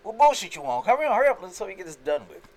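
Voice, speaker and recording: A man's voice: sits high, big pitch swings, rapid-fire, crowd noise. A man hosting a podcast, easygoing and joking, with frequent short affirmations. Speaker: podcast host. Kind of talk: casual podcast interview.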